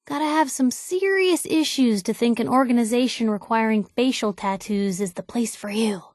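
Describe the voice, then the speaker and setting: Plucky wasteland newswoman for a video game, played by an actress: young, wry and chatty, slightly high pitch, very dry sound. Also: normal speed, darkish tone, dry recording, lightly acted. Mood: sardonic. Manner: wry, conversational, sardonic quips. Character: wry, plucky young woman reporter companion in a post-apocalyptic wasteland. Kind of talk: scripted video game companion dialogue.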